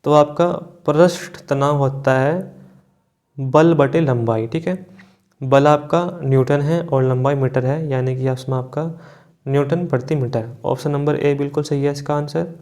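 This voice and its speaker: A man's voice: on the high side, a little slow, darkish tone. A man teaching an online exam class, explaining question by question, repeating points and often adding short check-in tags. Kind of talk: online class working through multiple-choice questions.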